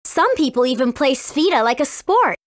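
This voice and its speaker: A woman voicing a brave, friendly teenage warrior princess in a video game, with an earnest, clearly spoken manner. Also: sits high, sing-song, moderate pace, no room sound, clean studio sound. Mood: explaining, earnest. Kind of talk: scripted video game character dialogue.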